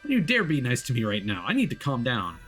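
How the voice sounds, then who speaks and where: A man's voice: mid-range voice, huge pitch swings, fast talker, fairly bright tone, faint music behind. A man voicing an angry, worried woman investigator who scolds her teammate in an exasperated way. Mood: flustered.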